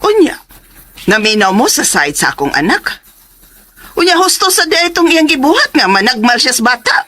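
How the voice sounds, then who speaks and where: A woman's voice: high-pitched, big pitch swings, moderate pace, bright, forceful tone, full character voice. A woman playing an indignant, protective mother who speaks confrontationally in a radio drama. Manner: confrontational, demanding.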